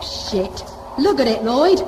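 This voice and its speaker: Woman playing an abrasive, sarcastic convict, lively pitch. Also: slow pace, noisy background. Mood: alarmed, angry. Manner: sarcastic, combative. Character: abrasive, sarcastic female convict on a scavenger crew, quick to panic. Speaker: actor in an audio drama. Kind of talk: audio drama acting. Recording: echoey studio drama with music underneath.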